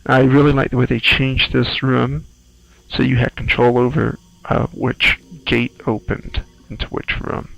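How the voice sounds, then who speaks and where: Very creaky-voiced man, casual commentary. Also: medium pitch, flat delivery, unhurried, a bit bright, dry recording, muffled recording. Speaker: screenwriter doing game playthrough commentary.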